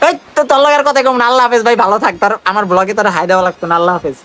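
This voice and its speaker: A young Bangladeshi man talks at a quick clip in a casual vlog style. His wording is slangy and teasing, and music plays faintly behind him. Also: sits high, lively intonation, fairly bright tone. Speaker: vlogger making prank calls. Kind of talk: casual vlog chat to viewers and on calls.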